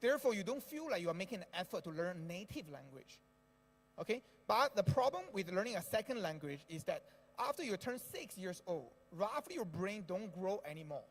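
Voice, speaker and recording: A man whose first language is Indonesian talks to an audience, explaining step by step and checking in with "right?" again and again. His voice sits fairly high for a man. The sound carries a long hall reverb. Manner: explanatory, frequent tag "right?". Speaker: speaker at an education event. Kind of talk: talk to an audience.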